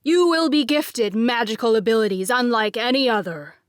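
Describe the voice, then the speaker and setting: A woman's voice: on the high side, animated, moderate pace, no room sound, clean studio sound, lightly acted. A woman putting on a young man's pompous ritual voice. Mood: commanding. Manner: commanding, pompous ceremonial delivery. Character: young man faking a booming ritual voice.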